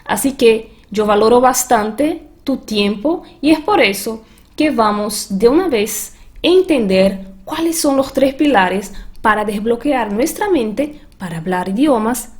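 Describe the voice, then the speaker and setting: A language coach from Brazil speaks with a Brazilian Portuguese accent, her voice sitting slightly high. She tells her own learning story in a reflective, earnest way, as in a recorded master class. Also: a little mellow. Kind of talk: online master class talk.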